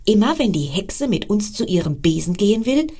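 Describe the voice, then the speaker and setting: A woman voicing a determined magic shoe in a bedtime story, earnest and quick. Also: medium pitch, sing-song, dark tone, husky.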